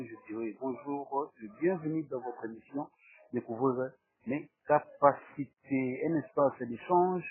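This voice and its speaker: A man hosts an interview. His voice is husky and his phrasing formal and halting. The sound is narrow and tinny, as if over a telephone. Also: mid-range voice. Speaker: talk show host and interviewer.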